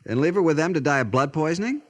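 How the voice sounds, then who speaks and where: A man's voice: on the high side, lively intonation, fast. A man playing an earnest, humane young cavalry lieutenant, insistent, in 1950s radio western style. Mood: indignant. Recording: noisy vintage radio recording with clicks.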